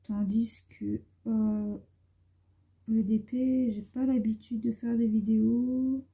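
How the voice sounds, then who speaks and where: Slow, husky, hoarse woman vlogger. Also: a little high, steady tone, narrow, tinny audio. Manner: rambling, meandering talk. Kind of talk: casual chat to viewers. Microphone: phone.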